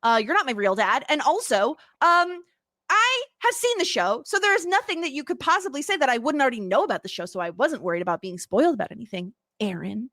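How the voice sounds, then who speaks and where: A woman in her thirties with an upstate New York accent, co-hosting a podcast in casual chat, bubbly, laughing often and saying "like" a lot, with a slight vocal fry. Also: high-pitched, sing-song, quick pace, fairly bright tone, dry recording, studio quality. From United States. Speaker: podcast co-host.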